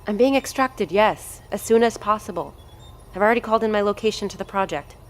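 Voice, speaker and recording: A woman plays a callous, arrogant doctor in charge of a research unit. She is haughty, cutting and impatient, stressing her words in an average-pitched voice. It is scripted audio drama dialogue. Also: brisk, lightly acted. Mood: dismissive, superior.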